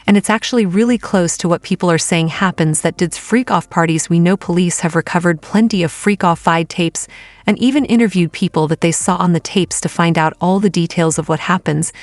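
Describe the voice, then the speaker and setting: A woman's voice: fairly low pitch, a little fast, no echo. A woman giving casual celebrity gossip commentary as a host.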